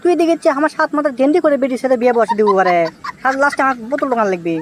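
A high, quick-talking young village bachelor played by a man in a comic cartoon, desperate for a wife. He whines and exclaims impatiently in a Bogura accent, his voice pitched up. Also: animated, slight background noise. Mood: indignant complaining. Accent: Bogura, northern Bangladesh.

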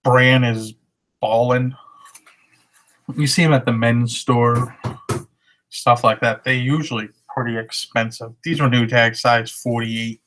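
Unhurried thirties man, monotone, plain webcam sound, reselling talk. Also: mid-range voice, a little hiss or hum. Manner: relaxed, matter-of-fact item rundown. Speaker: reseller showing thrift finds. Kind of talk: casual haul vlog. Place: living room at home. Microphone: webcam at desk.

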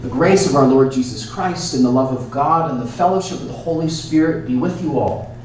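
A man speaking as a priest leading church worship, solemn and measured, in liturgy and prayer. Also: on the high side, darkish tone. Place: church, indoors. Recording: echoey church from far off, noisy. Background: noise, room ambience.

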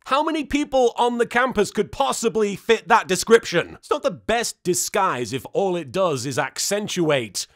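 A man with a high voice delivers a comedic episode recap. His pitch swings widely and his delivery is animated, sarcastic and full of mock indignation. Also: normal speed, few pauses. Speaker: reactor and recapper of an anime episode.

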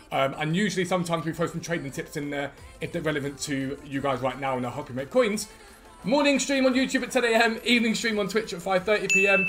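A man in his thirties with a slightly croaky voice, chatting quickly and eagerly to viewers as a gaming YouTuber, recorded at a desk in a room at home. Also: on the high side, a bit bright. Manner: rapid, chatty, enthusiastic. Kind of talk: energetic casual chat to viewers.

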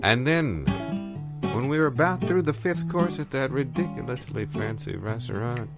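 A man performing improvised comedy, deadpan and unhurried, pausing between phrases. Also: medium pitch, loud music behind the voice. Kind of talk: improvised deadpan comic monologue and banter.

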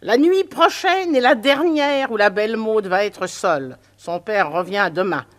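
A woman's voice: medium pitch, expressive, measured pace, lightly acted. A woman playing a prudish, scolding old spinster neighbor in a French radio drama. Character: prim nosy old spinster, moralizing neighbor.

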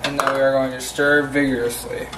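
A teenage male giving step-by-step cooking instructions in a halting, recited way in a home kitchen. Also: medium pitch, flat delivery. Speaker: cooking video presenter. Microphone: camera mic at a distance. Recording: distant camera mic, roomy, noisy background.

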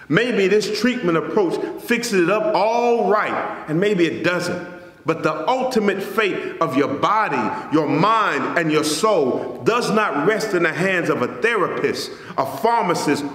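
A pastor in his forties preaching a sermon in a church sanctuary, measured and rising on key phrases, then dropping soft at the ends of lines. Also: high voice. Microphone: stand mic in front, camera at a distance. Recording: echoey church hall.

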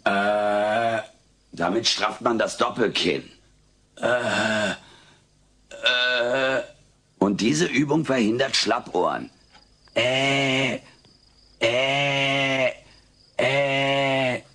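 An actor playing a cheeky, excitable furry alien in a dubbed sitcom audio drama, insistent and comically earnest. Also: male voice, mid-range voice, extremely slow, pauses often, full character voice. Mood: deadpan mischief. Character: wisecracking, excitable furry alien living with a family. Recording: hissy old cassette recording.

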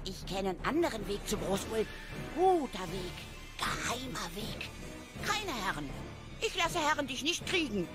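A woman's voice: fairly high pitch, very animated, normal speed. An actress playing a small, simple-minded female gully dwarf in a radio play, eager and devoted, speaking in broken, childlike phrases. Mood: eager, secretive. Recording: echoey hall reverb with music behind.

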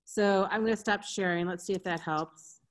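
A woman's voice: mid-range voice, fairly even intonation, normal speed. A woman hosting an online conference session over a video call, talking casually and restarting her sentences. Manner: chatty, apologetic, restarts under tech trouble. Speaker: conference host.

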